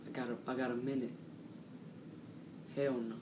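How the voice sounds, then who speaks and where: A man telling a casual, animated story in slang, repeating himself and acting out what people said. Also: mid-range voice, normal speed. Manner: slangy, repetitive, acts out quotes. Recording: roomy, distant mic, clearly noisy.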